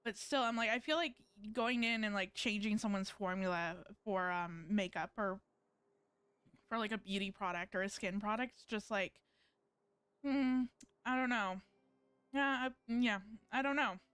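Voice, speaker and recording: A woman's voice: mid-range voice, measured pace, fairly bright tone, clear, resonant tone, dry recording. A woman giving casual live-stream commentary on a game, rambling and thinking aloud as she plays.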